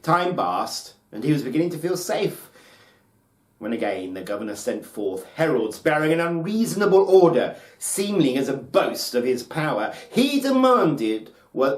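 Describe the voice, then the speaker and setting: A man narrating a folktale as a storyteller, with solemn, deliberate, theatrical phrasing. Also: fairly high pitch. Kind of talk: dramatic scripted folktale narration. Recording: roomy, echoing space.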